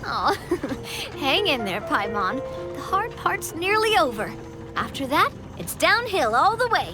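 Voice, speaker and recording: A woman's voice: high-pitched, very animated, a little slow, music in the background. A woman playing a cheerful, confident young adventurer guide in a video game, upbeat, playful and reassuring. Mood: encouraging. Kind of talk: video game character acting.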